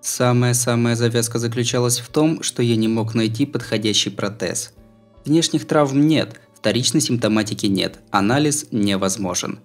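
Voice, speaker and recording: A man's voice: mid-range voice, normal speed. A man reads a first-person novel in a mid-range voice. His delivery is scripted yet conversational, with a wry edge. Music plays behind him. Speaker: audiobook narrator.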